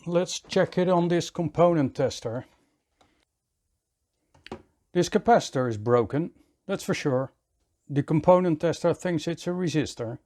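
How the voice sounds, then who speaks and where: A man's voice: a little high, darkish tone. A man talking methodically through a hands-on repair, step by step, as the host. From Netherlands. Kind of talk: hands-on repair tutorial commentary.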